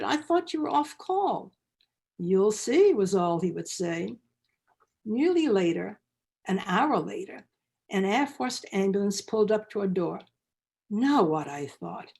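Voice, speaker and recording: A woman telling a holiday memory as an expressive, theatrical storyteller. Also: mid-range voice, creaky, slightly rough. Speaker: storyteller, actor.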